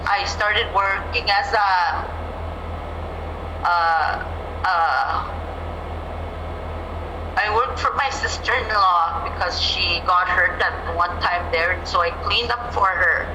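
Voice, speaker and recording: A woman's voice: lowish voice, slow, long pauses. A middle-aged woman from Manitoba, Canada, giving an earnest, plain-spoken campaign speech as a candidate for chief, speaking through a phone on a video call. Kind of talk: campaign speech at a community forum. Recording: roomy echo with steady background noise.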